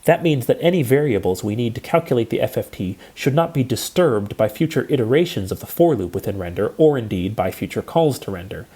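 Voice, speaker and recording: Mellow, slightly husky male lecturer. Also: mid-range voice, lively intonation. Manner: measured, explanatory, precise. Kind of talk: scripted technical lecture narration.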